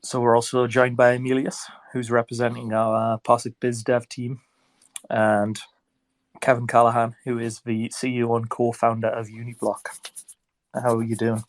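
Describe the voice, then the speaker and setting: A man with a stuffed-up voice from a cold, hosting a casual online chat in a relaxed, rambling way. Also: medium pitch, moderate pace, no room sound. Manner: relaxed, rambling, filler-heavy. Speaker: host.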